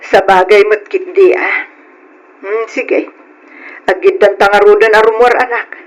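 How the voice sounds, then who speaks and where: A woman's voice: medium pitch, steady tone, a little slow, natural voice. A woman playing a worried, poor middle-aged provincial mother in an old Philippine radio drama. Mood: worried pleading.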